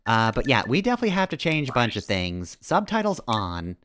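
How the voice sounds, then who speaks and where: Wry male game streamer with lively intonation. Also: mid-range voice, a little fast, a little hiss or hum. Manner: rambling, analytical, wry. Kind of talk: casual live gameplay commentary. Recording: game music behind the voice. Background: video game music.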